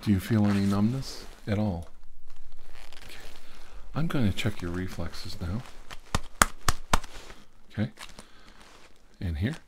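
Man acting as male doctor, low pitch, hushed and halting, gently reassuring, ASMR roleplay, noticeable background noise. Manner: hushed, halting, murmuring to himself. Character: male doctor examining a patient, hesitant, softly reassuring. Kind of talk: ASMR medical roleplay, soft-spoken and whispered.

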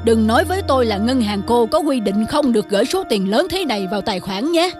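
A man's voice: very high voice, animated, quick pace. A man voicing a stubborn, wily middle-aged customer in a cartoon, insistent and matter-of-fact. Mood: firm, pointed. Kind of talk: cartoon dubbing. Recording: music under the voice.

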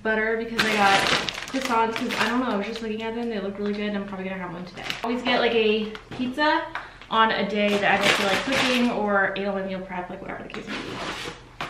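A woman's voice: medium pitch, fast talker. A young woman chatting casually and informally in short thinking-aloud phrases, as a lifestyle vlogger filming her day at home. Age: young adult. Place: apartment kitchen and rooms, indoors. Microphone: camera mic a few feet away. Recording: roomy, distant, noisy camera audio.

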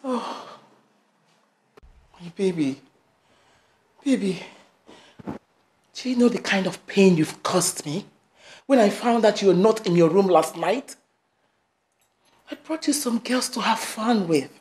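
A woman's voice: mid-range voice, animated, slow, pauses often, darkish tone, slight background noise. An actress playing a possessive, domineering middle-aged mother in a melodrama, commanding and guilt-tripping, repeating herself. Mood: wounded, reproachful. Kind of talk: melodramatic screen drama dialogue.

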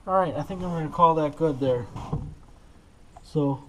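A man's voice: fairly high pitch, moderate pace, slight background noise, rough recording. A man giving casual, plain-spoken how-to commentary as he works on auto body repair. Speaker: DIY auto body repair video maker. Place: indoors in a garage, working inside a car.

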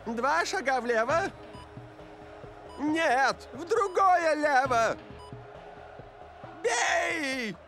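A man's voice: very high-pitched, lively intonation, drawn-out words, pauses often, music in the background, exaggerated voice. An actor playing a scheming, bossy older male football coach in a cartoon dub, with a theatrical, commanding delivery. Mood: urgent commanding.

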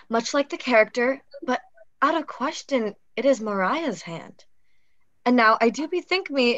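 A woman's voice: a little high, a bit bright, no echo. A woman playing a dignified noble lady in a Shakespeare comedy read over video call. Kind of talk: live table read of Shakespearean verse drama. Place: indoor room, video call. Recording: dull video-call audio.

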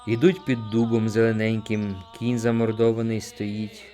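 A man narrating an audiobook, reciting poetry in an expressive, theatrical way. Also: fairly low pitch, moderate pace. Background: music.

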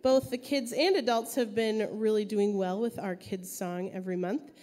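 A woman's voice: medium pitch, fairly even intonation, normal speed, warm tone. A woman in a church sanctuary, upbeat and encouraging as she introduces a kids' song to the congregation. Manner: upbeat and encouraging, coaxing the crowd.

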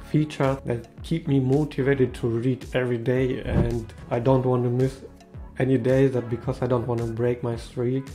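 A man's voice: medium pitch, dark tone. A man in his thirties whose first language is not English, talking to camera in a small room in a casual, upbeat way with slightly non-native phrasing. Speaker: tech video host.

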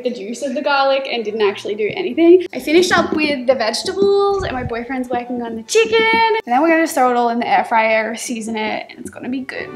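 A woman, chatting casually and giggling as she vlogs around her apartment on a handheld camera. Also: high-pitched. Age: 20s. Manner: chatty, giggly, self-deprecating. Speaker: lifestyle vlogger. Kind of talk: casual vlog chat. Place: home kitchen and bedroom of an apartment. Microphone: handheld camera at arm's length.

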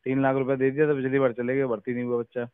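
A man's voice: mid-range voice, monotone, very fast, clear, resonant tone, dry recording. A man talking casually on a phone call, deferential and full of questions. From Uttar Pradesh, India. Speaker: village head, caller. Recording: narrow, tinny recorded phone call.

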